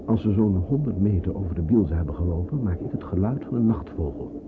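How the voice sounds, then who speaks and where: Radio play: calm, protective underground guide, very low voice, muffled noisy old recording. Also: male voice. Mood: firm, instructing. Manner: measured, instructing, reassuring. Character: calm, cautious resistance escort, protective and authoritative man. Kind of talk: radio drama acting.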